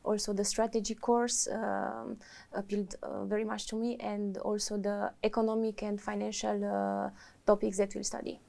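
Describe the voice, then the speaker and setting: Gravelly woman, hesitant. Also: medium pitch, level tone, moderate pace, warm tone. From Romania. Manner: hesitant, many fillers. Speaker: MBA student. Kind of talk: answering interview questions.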